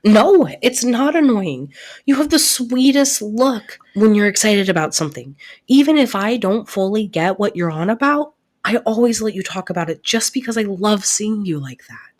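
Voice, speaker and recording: A woman playing a devoted, protective best friend in an intimate audio roleplay, soft-spoken and earnest, easily flustered. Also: mid-range voice, animated, close to an everyday voice. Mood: tender, reassuring. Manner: earnest, reassuring, halting when flustered.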